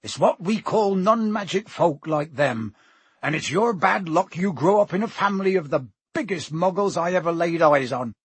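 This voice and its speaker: A big-hearted, blustering giant from a storybook. His voice is very rough and husky, recorded close and dry. He talks in rustic, halting phrases that rise and fall dramatically. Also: sits high, expressive, no echo, pitched above the performer's own voice, exaggerated voice. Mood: scornful. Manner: rustic, colloquial, halting speech. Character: gruff, warm-hearted giant man, blustering and anxious.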